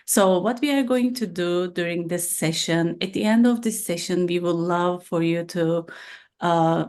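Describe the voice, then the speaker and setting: A woman with a fairly low voice presents a webinar session. She talks with many fillers and restarts. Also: unhurried, darkish tone. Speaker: program lead, co-host.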